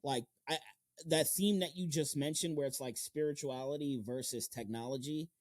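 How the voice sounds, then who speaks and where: A man's voice: on the high side, moderate pace, darkish tone, no echo, clean studio sound. A man hosting a podcast, chatting casually and slangily with plenty of loose swearing. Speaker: podcast host. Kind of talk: casual, animated podcast chat.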